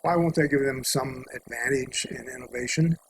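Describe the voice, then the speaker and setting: A man's voice: mid-range voice, steady tone, normal speed. A man in the audience asking a direct question. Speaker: audience member. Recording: audience voice with clear background noise.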